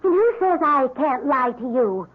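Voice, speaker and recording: An actress playing a manipulative, demanding young mistress in an old-time radio drama, coquettish, petulant and defiant. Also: female voice, high-pitched, very animated, unhurried. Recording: tinny vintage radio broadcast.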